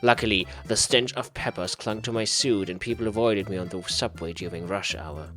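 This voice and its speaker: A man's voice: fairly low pitch, normal speed, pushed and bright, soft background music. A man reading a visual novel aloud in a theatrical, mock-serious way as a gaming host. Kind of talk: dramatic read-aloud narration of a visual novel.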